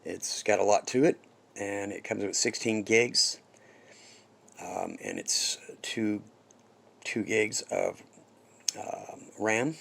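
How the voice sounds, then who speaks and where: A man giving a casual, unscripted product demo as a reviewer, haltingly listing features one by one. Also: lowish voice, very animated, creaky, a bit bright.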